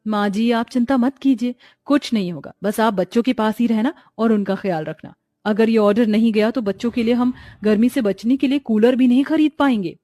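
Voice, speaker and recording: Young village wife for a moral tale, woman performer, fairly high voice, practical, persuasive, dry sound. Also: brisk, dark tone, no echo, natural voice. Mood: insistent, reassuring.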